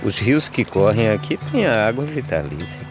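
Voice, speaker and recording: Animated film: poor, tired male farmhand from the drylands, lowish pitch, declaimed rhyme, clear musical backing. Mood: hopeful wonder. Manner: measured, declaimed rhyming delivery. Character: poor, weary migrant farm labourer from the dry backlands. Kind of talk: verse drama in an animated film. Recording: music behind the voice.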